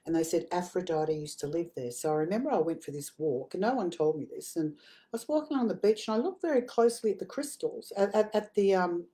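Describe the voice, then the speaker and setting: A woman's voice: fairly low pitch, lively intonation, fast, dark tone. A woman in her fifties hosting a live spiritual chat, eagerly showing crystals on a video call while fighting a dry cough. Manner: enthusiastic show-and-tell, gushing. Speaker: psychic medium host. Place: home room with a paper screen. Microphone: video call webcam.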